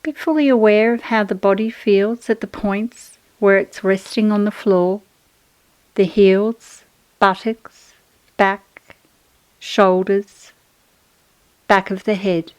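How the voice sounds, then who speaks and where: A woman's voice: medium pitch, steady tone, smooth, dry recording, poor audio. Soothing woman guiding relaxation, close and dry. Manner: soothing, measured, instructional, with pauses. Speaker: yoga nidra guide. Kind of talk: guided relaxation meditation.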